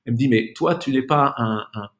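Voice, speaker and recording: A man's voice: mid-range voice, fairly quick. A man speaking as a podcast guest in a casual, reflective interview, searching for his words and often repeating them.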